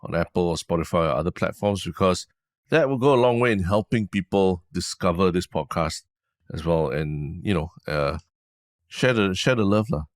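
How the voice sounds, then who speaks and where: A man's voice: sits low, normal speed, dry recording. A man in his thirties with a Singaporean accent, co-hosting a podcast, teasing his partner in a dry, hesitant way. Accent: Singaporean English. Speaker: podcast co-host. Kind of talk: casual chat.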